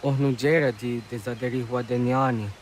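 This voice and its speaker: A man's voice: mid-range voice, fairly even intonation, fairly quick, a little hiss or hum, close to an everyday voice. A man playing an earnest young village man in a video game cutscene. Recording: faint outdoor nature ambience.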